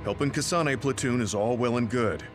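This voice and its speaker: A man's voice: medium pitch, moderate pace, close to an everyday voice. A man voicing a pragmatic, blunt squad mate in an anime-style game cutscene. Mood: wary, practical. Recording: music behind the voice.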